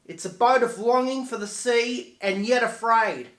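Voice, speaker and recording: A man's voice: extremely high pitch, measured pace, a bit bright. A man playing a regretful dead man who recites a poetic monologue with solemn, declamatory delivery. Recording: echoey room.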